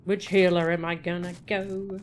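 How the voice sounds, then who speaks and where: A woman streaming a game, with a slightly low voice and a casual, playful delivery. She chats and mutters as she plays, over faint background noise. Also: little pitch movement, moderate pace. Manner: chatty, playful, mutters to self and chat. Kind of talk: casual live gameplay commentary, reading out game dialogue.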